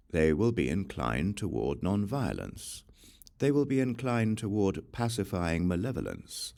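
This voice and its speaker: Male audiobook reader, very deep voice, lively intonation, solemn measured delivery. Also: gravelly. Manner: slow, solemn and deliberate. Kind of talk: measured scripture reading.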